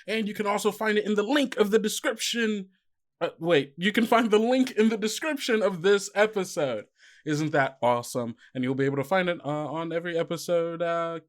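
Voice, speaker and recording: A man hosting a podcast as game master, chatting casually in a playful, self-deprecating, rambling way. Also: high-pitched.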